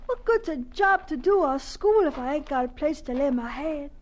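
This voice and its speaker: Very high-voiced homeless farm boy. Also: animated, normal speed, a little mellow, a little hiss or hum. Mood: worried, forlorn. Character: homeless ten-year-old farm boy, earnest, pleading, timid. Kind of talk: radio drama acting.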